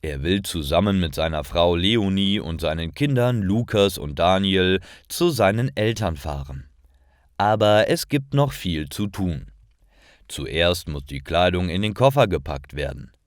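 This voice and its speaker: A man reading scripted short stories for language learners in a deliberate, carefully articulated and expressive way. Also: low-pitched, dry recording, clean studio sound. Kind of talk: scripted narration, slow storytelling for language learners.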